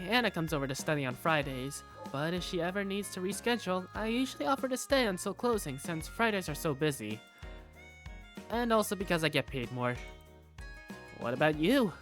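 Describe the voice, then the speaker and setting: A woman's voice: on the low side, a bit bright. A woman playing an easygoing young protagonist, narrating and speaking in a visual novel fandub in a casual, wry, playful way.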